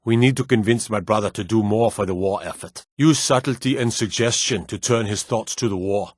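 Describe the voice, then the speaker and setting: An actor playing a gruff, proud Nord guard in a fantasy video game, blunt and curt with folksy rustic phrasing. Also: male voice, fairly low pitch, brisk, darkish tone, dry recording, clean studio sound, natural voice. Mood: urgent, insistent.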